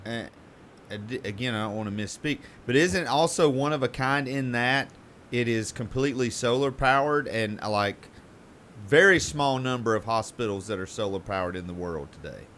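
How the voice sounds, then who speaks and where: A man's voice: mid-range voice, normal speed, a bit bright, slight background noise. A man hosting an interview, asking careful, hedging questions. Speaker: show host.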